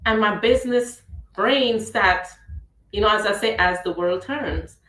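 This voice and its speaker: A chatty, playful woman with a Trinidadian accent hosts a casual radio talk show, her voice sitting at an ordinary middle pitch. The sound is roomy, as if she is talking over an online call from a reverberant room. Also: measured pace, a bit bright. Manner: chatty, playful, laughs easily.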